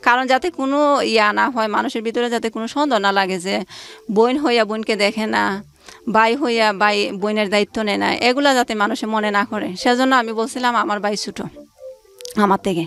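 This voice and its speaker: A woman's voice: fairly high pitch, steady tone, fast talker, fairly bright tone, soft background music. A woman. She tells her own story informally, as an interview guest, relaying who said what in reported dialogue. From Bangladesh. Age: late 20s. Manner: recounts events through reported dialogue. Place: radio studio.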